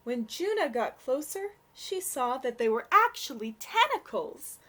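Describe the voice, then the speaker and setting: A young adult woman reading a storybook aloud for children in an expressive, gentle way. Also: high voice, measured pace.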